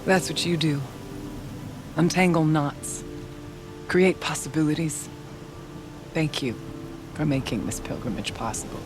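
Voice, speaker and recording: An actress playing a proud, devout middle-aged female shaman in a video game, with solemn, measured, formal speech. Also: sits low, expressive, music in the background. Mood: grateful, reflective. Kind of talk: dramatic video game acting.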